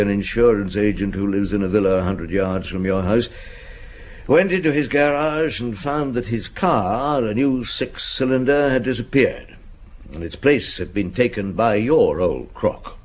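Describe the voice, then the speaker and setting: An actor playing a shrewd, patient chief inspector in a radio drama, with methodical, dry questioning punctuated by hesitant hums. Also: male voice, mid-range voice, expressive, unhurried. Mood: probing, methodical.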